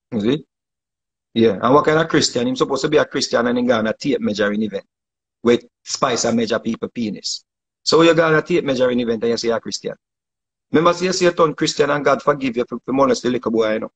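A man's voice: mid-range voice, fast, husky, rough recording. A man in his forties with a Jamaican accent, ranting fiercely on a phone livestream from his living room, slipping into patois. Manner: fiery, preachy, lapsing into patois. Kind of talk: animated phone livestream rant. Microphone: phone held close.

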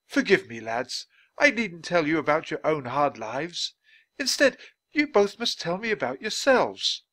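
A man voicing a kindly, indignant English gentleman author in a storybook, with grand, emphatic speech and a theatrical manner. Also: on the high side, big pitch swings, measured pace, pitched above the performer's own voice, full character voice. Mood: kindly, earnest.

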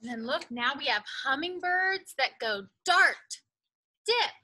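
Bright, high-voiced woman reading to young children. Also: lively intonation. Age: young adult. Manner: sing-song, deliberately enunciated, emphatic on rhyming words. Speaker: storyteller reading a picture book to children. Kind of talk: read-aloud for young children. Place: outdoors in a leafy backyard.

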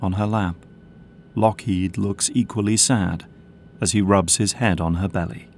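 Low-voiced man narrating an audio drama. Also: fairly even intonation, unhurried, slight background noise.

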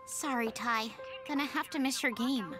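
A young anime girl. Her voice is high and gentle, softly coaxing, with music in the background. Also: normal speed. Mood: gentle, concerned.

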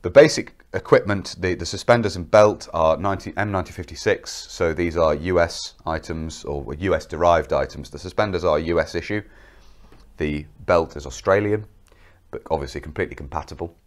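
Man, very deep voice, has a cold, methodical presenter, studio lapel mic. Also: fairly quick. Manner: detailed, methodical, explains while pointing. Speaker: military history presenter. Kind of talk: informative presentation to camera.

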